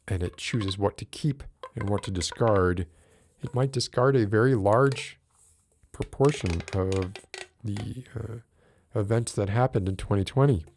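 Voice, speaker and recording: A man in his late forties with a very low voice chats on his livestream at an unhurried pace. He muses and rambles wryly and stops to greet viewers in the chat by name. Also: darkish tone, slight background noise. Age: 40s. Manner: musing, rambling, wry. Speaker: livestream host.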